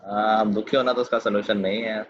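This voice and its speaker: A man's voice: on the low side, level tone, fast talker, highs cut off. Man teaching math over a video call. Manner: firm, teasing with students. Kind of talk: online class teaching.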